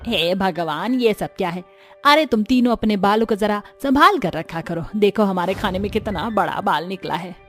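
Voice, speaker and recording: A woman voicing a sharp-tongued older mother-in-law who scolds and taunts. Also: medium pitch, animated, moderate pace, faint music behind. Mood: scolding.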